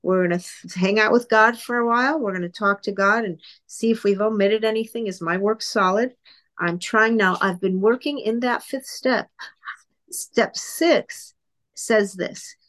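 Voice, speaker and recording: A woman's voice: medium pitch, measured pace, fairly bright tone. Candid woman sharing at a meeting. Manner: earnest, candid and self-deprecating, talking straight to the group. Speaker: speaker sharing at a twelve-step meeting. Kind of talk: personal recovery share. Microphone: computer on an online call.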